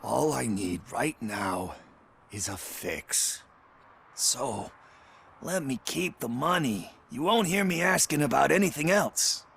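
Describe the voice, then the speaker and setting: A man playing a desperate, bitter junkie informant in a video game, rambling and pleading with rough language. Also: medium pitch, expressive, slow, fairly bright tone, slight background noise, exaggerated voice. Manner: rambling, foul-mouthed, pleading. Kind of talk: video game cutscene acting.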